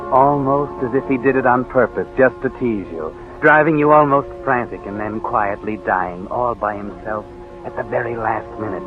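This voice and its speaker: A man's voice: medium pitch, natural voice. An actor playing a sly, sinister narrator who taunts a guilty man in a 1940s radio suspense drama. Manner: taunting, addresses the character directly as you. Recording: muffled vintage radio recording with music.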